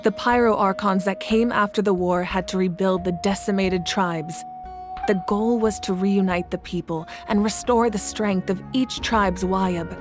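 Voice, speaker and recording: A woman playing a composed, grave ruler in a video game, speaking in measured, deliberate lines. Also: medium pitch, natural voice. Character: solemn, composed woman war-god ruler of a nation. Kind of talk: video game voice acting, dramatic exposition. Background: game music.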